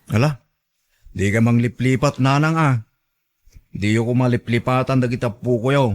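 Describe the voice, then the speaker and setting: A man's voice: mid-range voice, normal speed, natural voice. A man with an Ilocano accent playing a bossy, demanding elderly man in a radio drama, blunt and nagging. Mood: grumbling.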